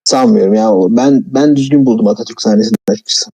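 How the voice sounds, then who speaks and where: Guy arguing about movies, blunt, super quick talker, mellow dark voice, casual. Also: fairly low pitch, husky. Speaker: film commentator, video maker. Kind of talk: casual film criticism in conversation.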